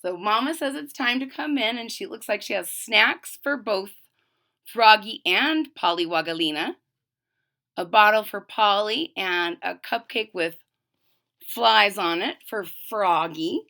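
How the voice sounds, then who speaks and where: Female storybook reader: slow, highly animated pitch, expressive narration for young children. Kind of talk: children's read-aloud narration with explanations.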